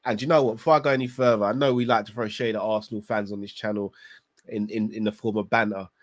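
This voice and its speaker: A man's voice: fairly low pitch, fairly even intonation, fast. A man hosting a football recap channel, talking casually and emphatically with strong opinions, his voice bunged up from a cold. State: congested from a cold.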